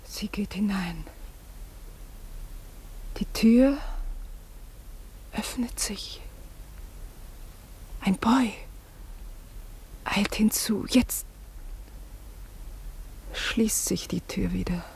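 Breathy, timid female companion. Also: medium pitch, extremely slow, pauses often, a little mellow, full character voice. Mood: forlorn, hushed. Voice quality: breathy, often near whispering. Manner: hesitant, deferential. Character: anxious, deferential female companion, timid and pleading. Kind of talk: German radio play acting.

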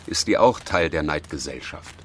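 An actor playing a stubborn, dry police detective in a radio drama, questioning people in a blunt, matter-of-fact way. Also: male voice, a bass voice, lively intonation, normal speed, a little hiss or hum. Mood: dry, probing. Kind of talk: naturalistic radio drama dialogue.

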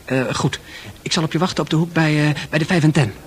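An actor playing a shy, tender young suitor in a radio play, halting and stammering as he speaks, earnest and protective. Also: a little high, close to an everyday voice. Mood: nervous, eager. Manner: halting, stammering, repeats words. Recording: music behind the voice.

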